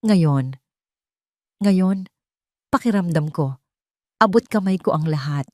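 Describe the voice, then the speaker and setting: A woman's voice: on the low side, animated, takes it slowly, dry recording. A woman voicing a serene, content woman in love in a romantic audio drama, reflective in manner. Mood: peaceful, reflective.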